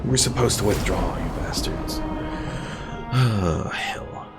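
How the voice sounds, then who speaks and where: A man's voice: deep voice, slow, loud music behind the voice. A man streaming a strategy game, muttering, cursing at it and reading chat aloud. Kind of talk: casual gameplay commentary.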